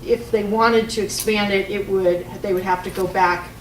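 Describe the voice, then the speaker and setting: A woman who is a teacher giving an informative public comment in a loud teacher voice, with many ums. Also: medium pitch, steady tone, moderate pace. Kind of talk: public comment at a board meeting. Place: township hall meeting room. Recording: echoey room from a far mic, noisy.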